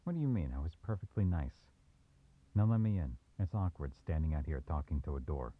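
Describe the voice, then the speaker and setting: A man playing a teasing, coy young mafia boyfriend with veiled menace, in an unhurried, intimate ASMR roleplay. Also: a bass voice, expressive, slightly rough, narrow, tinny audio, heavily acted. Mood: coaxing.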